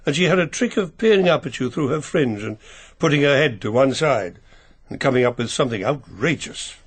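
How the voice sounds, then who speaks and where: An actor playing a proper, reserved older English family solicitor, precise and a little hesitant, in a radio mystery drama. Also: male voice, medium pitch, lively intonation, brisk, breathy edge. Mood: measured recollection.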